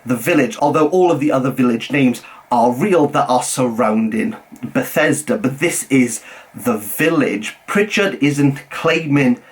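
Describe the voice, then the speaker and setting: A young adult man with a Welsh accent, reviewing a novel in a measured, earnest way, dropping his voice low on titles. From Wales. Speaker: book reviewer. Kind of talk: scripted book review.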